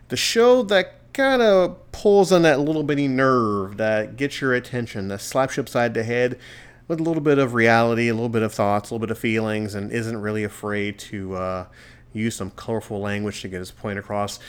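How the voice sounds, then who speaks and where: A man's voice: medium pitch, clear, resonant tone. A man hosting his own solo podcast from a studio, talking in an earnest, encouraging way with a radio-DJ delivery. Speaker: podcast host. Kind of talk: casual solo podcast monologue. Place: home studio.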